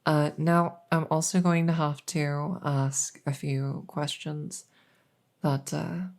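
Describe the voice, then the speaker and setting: A woman playing a polite, hesitant, matter-of-fact night vet in an ASMR audio roleplay, with a creaky voice. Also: deep voice, level tone, slow pace, darkish tone, full character voice. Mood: professional, reassuring. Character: soft-spoken, polite night vet receiving a supernatural patient.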